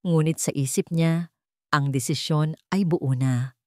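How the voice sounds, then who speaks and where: A woman narrates a romantic drama from a script. Her voice sits a little low, and her delivery is dramatic storytelling. The sound is very dry, with no room echo. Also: a little slow.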